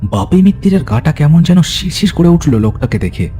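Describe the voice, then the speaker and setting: A man's voice: fairly high pitch, sing-song, fairly quick, mellow, faint music behind. A man narrating a horror story in a dramatic, scripted storytelling style.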